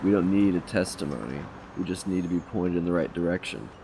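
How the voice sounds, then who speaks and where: A man's voice: low-pitched, a little fast, vocal fry, noisy background. An actor playing a cynical, sarcastic male detective in an audio drama. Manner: sarcastic, crude remarks.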